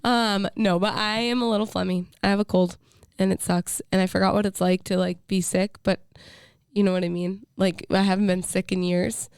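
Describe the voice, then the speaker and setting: A young woman co-hosting a casual chat podcast, hoarse from a cold, with a gravelly edge and a smooth, clear tone underneath. She is candid and jokey. The sound is close and dry. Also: medium pitch, fairly even intonation, normal speed, darkish tone, no echo. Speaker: podcast co-host.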